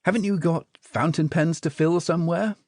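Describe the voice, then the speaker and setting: A woman's voice: on the low side, fairly even intonation, normal speed, a little mellow, no room sound, clean studio sound, voice pushed up, natural voice. A man voicing a curious, polite girl who keeps asking puzzled questions.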